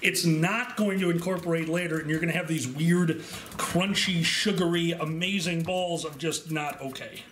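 A man's voice: on the high side, steady tone, moderate pace. Joking man filming in his kitchen. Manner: joking, offhand, rambling with fillers. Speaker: home cooking vlogger. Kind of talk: casual cooking demonstration. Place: home kitchen, indoors. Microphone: far camera. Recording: echoey kitchen, distant mic, loud mixer noise.

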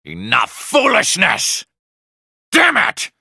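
An arrogant, godlike male villain from a fighting game. His voice is very sharp and forceful, with a creaky edge. He delivers clipped, menacing, condescending lines extremely slowly. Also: sits high, huge pitch swings, no room sound, clean studio sound, heavily acted. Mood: aggressive, shouting. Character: arrogant, cold male supervillain with god complex.

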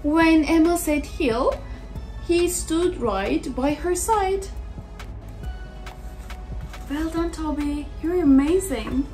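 A young woman with a high voice reads a children's picture book aloud. She is expressive and exaggerated, breaking off for short, simple reactions to the pictures. Music plays along with her. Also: slow pace, long pauses, low-quality recording. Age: young adult. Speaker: children's story reader.

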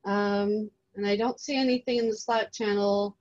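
A woman casually moderating an online session, unscripted, with halting phrasing. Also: medium pitch, steady tone, a little mellow. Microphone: video call. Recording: dull, lo-fi online call audio.

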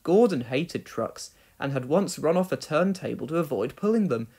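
A man narrates a children's storybook in a fairly high voice. His delivery is very lively, rising and falling through the scripted lines. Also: normal speed, husky. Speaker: story reader.

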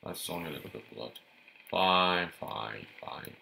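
Gamer guy, very deep voice, loose rambling play-by-play, noisy recording. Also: animated, a little slow, creaky, pushed and bright. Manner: chatty, thinks aloud, repeats words. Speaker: gameplay youtuber. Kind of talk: casual gameplay commentary.

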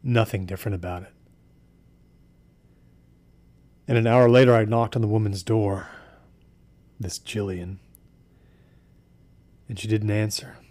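An actor playing a haunted, obsessive, embittered man in an audio drama, speaking in a halting, deliberate way. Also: lowish voice, steady tone, a little mellow, no room sound, lightly acted. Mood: bleak, haunted. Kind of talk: audio drama acting, brooding confessional storytelling.

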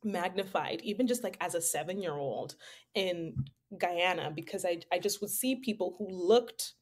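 A woman from Zambia, a playwright and actor, answering interview questions in a thoughtful, relaxed way and laughing easily. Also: lowish voice, normal speed. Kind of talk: casual interview chat.

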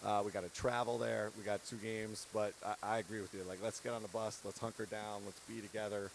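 Man, 30s, low gravelly voice, earnest coach, handheld mic, light hiss. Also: little pitch movement, fairly quick, rough recording. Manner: earnest, candid, laughs between points. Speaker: head basketball coach. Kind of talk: post-game interview. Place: courtside set in an empty arena.

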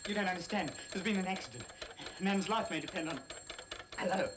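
A man's voice: high voice, quick pace, natural voice. An actor playing a shaken, weary young man with an English accent in an old British film drama. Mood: urgent, exhausted. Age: young adult. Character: shaken, weary, urgent young accident survivor. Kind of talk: 1950s British film acting. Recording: noisy, distant old film soundtrack.

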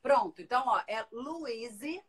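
A woman's voice: on the high side, slow pace, husky. A woman in her forties hosting a casual livestream from her living room, chatting and reading viewer comments into a phone held at arm's length. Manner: chatty, lively, reads out comments. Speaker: livestream host. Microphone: phone camera at arm's length.

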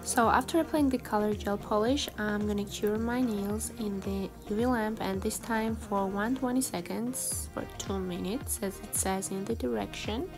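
A woman's voice: mid-range voice, steady tone, moderate pace, hardly any pauses. A woman giving a casual, chatty tutorial voice-over in a self-deprecating way, like a beauty vlogger. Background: background music.

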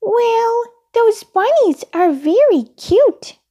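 A small, childlike storybook monster acted out by a woman speaks far up in a high register, polite and eager. The delivery swings up and down with earnest, simple phrasing. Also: sing-song, drawn-out words, mellow, exaggerated voice. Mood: earnest, insistent. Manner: childlike, refers to self in third person.

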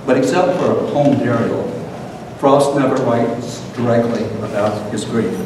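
An older man giving a scripted public lecture as the host of an event. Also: measured pace. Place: meeting room, at lectern, far camera. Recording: very echoey hall from far off, noisy rumble.

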